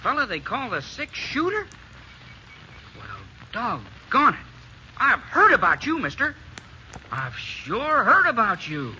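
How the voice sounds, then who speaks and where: High, bright, cocky Western blacksmith. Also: male voice, huge pitch swings, slow, long pauses, highs cut off, heavily acted. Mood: impressed, excited. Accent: American. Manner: folksy, chatty, boastful. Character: cocky, garrulous small-town male blacksmith, small but boastful. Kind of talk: 1950s radio western acting. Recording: old radio recording, faint noise.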